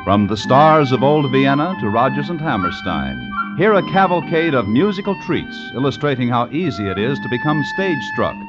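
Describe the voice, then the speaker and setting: A man's voice: mid-range voice, big pitch swings, moderate pace, few pauses. A man reading scripted announcements in a 1950s radio style. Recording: dull vintage radio recording with music. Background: music.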